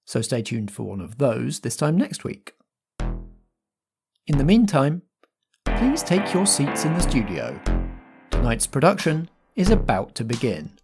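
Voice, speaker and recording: A man's voice: mid-range voice, wildly swooping pitch, a little slow, creaky, long pauses, over music. A man hosting and game-mastering a tabletop roleplay show, moving between announcements, narration and chat.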